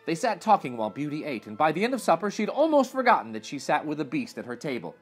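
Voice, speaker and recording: Male storyteller, thirties, husky and very lively in pitch, reading a picture book aloud over music. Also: quick pace. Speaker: children's story reader. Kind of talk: expressive storybook read-aloud narration. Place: small furnished room indoors.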